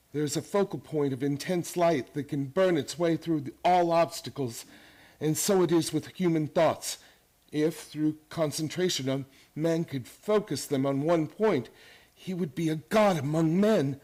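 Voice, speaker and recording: A man's voice: a little high, a little mellow. A man acting in a radio play as an obsessive, grandiose believer in his own mental powers, lecturing intensely and emphatically.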